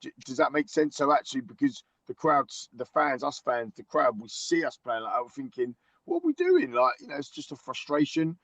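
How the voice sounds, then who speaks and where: A man who is a season-ticket-holding football fan, hoarse from singing, talking excitedly in a casual podcast chat and tripping over quick restarts. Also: mid-range voice, dry recording. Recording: dull lo-fi dry sound.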